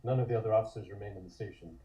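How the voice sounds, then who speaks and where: Guy reading scene descriptions, deep-ish mellow voice, bit of a dull recording. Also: fairly even intonation, very fast. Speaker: narrator reading stage directions. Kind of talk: scripted narration.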